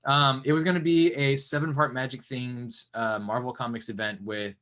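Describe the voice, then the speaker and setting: Man, podcast host, mid pitch, rambling, filler-heavy, casual chat. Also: fairly even intonation, moderate pace, a bit bright. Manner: rambling, hesitant, many fillers. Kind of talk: casual comics discussion.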